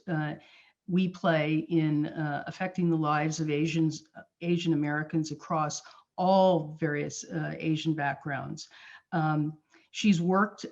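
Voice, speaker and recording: An older woman moderating a panel over a video call, introducing a guest in a measured way with small fillers. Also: deep voice, highs cut off.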